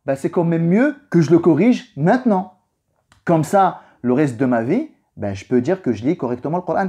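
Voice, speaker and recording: A man's voice: high-pitched, mellow, breathy edge. A young man, a native Arabic speaker, giving an informal motivational religious reflection in an emphatic way, stressing points with repetition. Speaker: vlogger giving a personal reflection.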